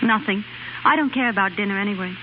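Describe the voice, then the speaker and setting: An actress in a 1930s radio drama playing a tired, devoted young working wife, earnest and gently pleading, on a scratchy, tinny recording. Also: female voice, medium pitch, fast talker, lightly acted. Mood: weary, gentle.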